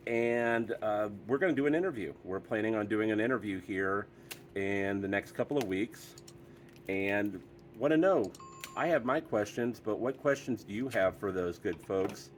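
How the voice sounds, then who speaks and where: A man's voice: on the low side, moderate pace, slight background noise. A man hosting a casual product review, talking in an easygoing, chatty way with frequent fillers.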